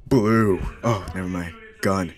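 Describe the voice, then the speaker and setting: A man improvising a comedic fan voice-over for a cartoon in a deadpan, goofy manner. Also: low-pitched, takes it slowly. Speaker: amateur fan dubber.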